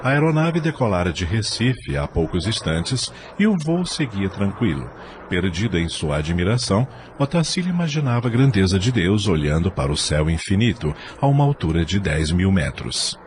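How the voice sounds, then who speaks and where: Very deep male narrator, expressive pitch. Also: moderate pace, background noise. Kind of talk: scripted storybook narration for audio drama.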